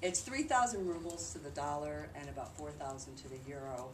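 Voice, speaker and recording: Female presenter, low voice, conversational explainer giving an informal group talk; noisy, echoing room recording. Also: fairly quick. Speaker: presenter reporting on a charity trip. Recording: distant mic in noisy, echoey room.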